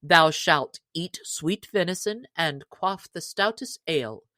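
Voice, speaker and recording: Woman voicing a hearty, unhurried jolly outlaw leader. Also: sits low, lively intonation, bright, forceful tone, dry recording, heavily acted. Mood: jovial, hearty. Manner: hearty, archaic speech. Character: jolly bold young male outlaw leader. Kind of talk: storybook character reading.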